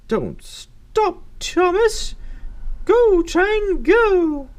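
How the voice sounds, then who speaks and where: Shrill, bossy storybook lady judge. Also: very animated, drawn-out words, a little mellow, full character voice. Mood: impatient, urgent. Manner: shrill, urgent, exclamatory.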